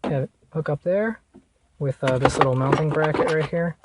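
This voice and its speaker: A man giving a casual hands-on how-to in an informal, halting way, thinking aloud as he goes. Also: fairly high pitch, heavy background noise. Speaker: vlogger showing a car radio install.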